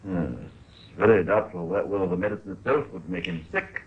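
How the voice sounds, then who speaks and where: A tinny, phone-like vintage radio recording of a Victorian consulting doctor. His voice sits fairly low, measured and precise, with a formal, probing manner. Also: animated, vocal fry. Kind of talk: 1950s radio drama acting.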